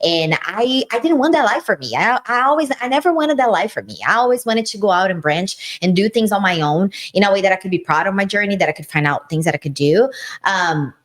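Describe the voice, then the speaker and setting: A woman's voice: expressive, fairly quick. A woman from Brazil with a Brazilian Portuguese accent, co-hosting a casual podcast and speaking in an earnest, emphatic way. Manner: earnest and emphatic, swinging high in pitch. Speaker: co-host. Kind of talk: casual conversation.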